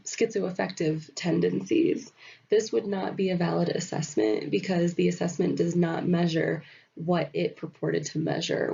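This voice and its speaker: A woman's voice: fairly low pitch, gravelly, warm tone, slightly rough, lo-fi sound. A woman recovering from an illness, sounding nasal, explains a topic in a recorded slide presentation with many fillers. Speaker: student presenter.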